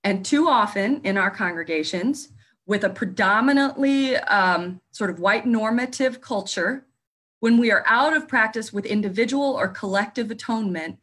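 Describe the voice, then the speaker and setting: A woman minister and author speaking earnestly in a panel discussion, pausing now and then to find her words. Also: medium pitch, fairly bright tone, breathy edge.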